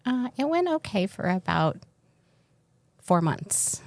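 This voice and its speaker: A woman's voice: mid-range voice, very animated, slow pace, no room sound. A woman co-hosting a podcast, chatting candidly and telling personal stories with bawdy, joking frankness. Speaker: podcast co-host. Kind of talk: candid casual chat, personal storytelling.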